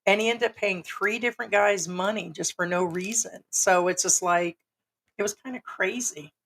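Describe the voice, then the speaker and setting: A woman's voice: medium pitch, normal speed, vocal fry, a bit bright. A woman co-hosting a livestream, telling a story in a casual, rambling way with lots of fillers. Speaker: livestream co-host.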